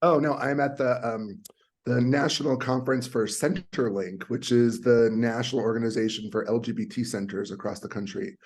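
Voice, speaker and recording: A man speaking through a video call, his voice a little raspy from a long night. He explains things earnestly at a middling pitch, with frequent ums and restarts. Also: moderate pace, a little mellow. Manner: earnest, many fillers and restarts. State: slightly raspy voice. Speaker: guest, community center leader. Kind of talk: virtual panel conversation. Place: conference hotel.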